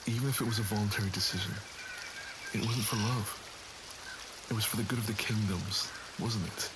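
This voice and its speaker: A man's voice: lowish voice, steady tone, moderate pace, natural voice. A man playing an earnest, lovesick nobleman in an ASMR roleplay, with formal, wounded phrasing. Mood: protective, upset. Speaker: voice actor in an audio roleplay. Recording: audible water and outdoor ambience noise.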